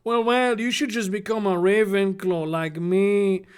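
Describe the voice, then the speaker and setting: Performer putting on a smug teenage bully with mock Mexican accent, pitched up. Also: steady tone, a little slow, clean tone, close to an everyday voice. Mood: blustering. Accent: exaggerated mock Mexican. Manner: smug, drawling.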